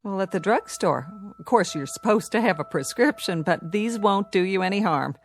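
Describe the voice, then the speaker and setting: Actress as a kind, practical diner wife. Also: female voice, mid-range voice, lively intonation, moderate pace, a little hiss or hum, natural voice. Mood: kindly, practical. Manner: matter-of-fact, reassuring. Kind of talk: radio drama acting.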